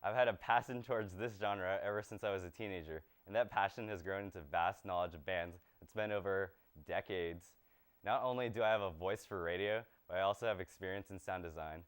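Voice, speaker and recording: A man's voice: low voice, a little fast, clean tone. A young man presenting from a small TV studio, delivering scripted lines in an earnest, rehearsed way. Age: young adult. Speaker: student presenter.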